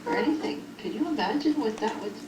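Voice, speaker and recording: A woman's voice: a little high, animated, quick pace. A woman talking as if in an audio letter to a child, explaining things in simple phrases, recorded on cassette. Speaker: group home care worker. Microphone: cassette recorder at a distance. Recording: roomy, noisy cassette tape from a distance.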